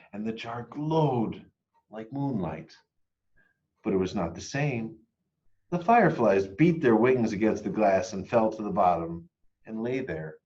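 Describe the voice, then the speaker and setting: A man reading a children's picture book aloud expressively, moving between hushed and emphatic delivery. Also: lowish voice, highs cut off. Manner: dramatic, hushed and emphatic by turns. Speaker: read-aloud storyteller.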